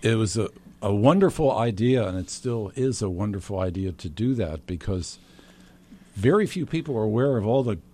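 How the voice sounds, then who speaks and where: A man hosting a local talk show, friendly and a little halting, often repeating words as he starts a sentence. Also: lowish voice, animated, warm tone. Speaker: host.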